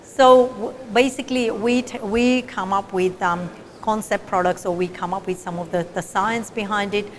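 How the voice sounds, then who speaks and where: A woman's voice: medium pitch, steady tone, normal speed, few pauses. A middle-aged woman from Fiji, a meat scientist, explaining her research in an interview with frequent fillers and restarts. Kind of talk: interview answers.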